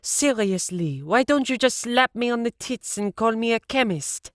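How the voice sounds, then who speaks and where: A man voicing a furious, combative young woman who scolds and threatens in a crude, shouting way. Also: extremely high pitch, expressive, normal speed, no echo, clean studio sound, pitched above the performer's own voice. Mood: ranting.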